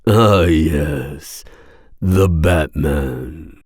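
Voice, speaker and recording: A man playing a masked villain mercenary in an ASMR roleplay, speaking in a formal, deliberate, seductive and menacing way. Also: extremely low pitch, darkish tone, breathy edge, no echo, clean studio sound, exaggerated voice. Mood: courteous, confident. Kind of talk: ASMR roleplay audio drama.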